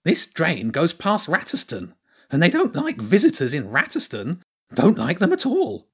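A man's voice: a little high, huge pitch swings, moderate pace, breathy edge, pitched above the performer's own voice, heavily acted. A man voicing a nervous, fussy, spined storybook creature who is blunt, cautious and repeats himself. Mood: gloomy, warning. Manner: repeats himself, fretful and matter-of-fact.

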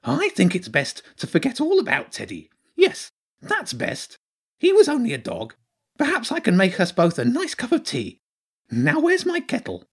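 A fussy, cautious little spiny creature from a storybook, voiced by a man, pitched high with big swoops. He frets and repeats himself, blunt and matter-of-fact about bad news. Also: wildly swooping pitch, moderate pace, slightly rough, exaggerated voice. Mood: fretful, dismissive.

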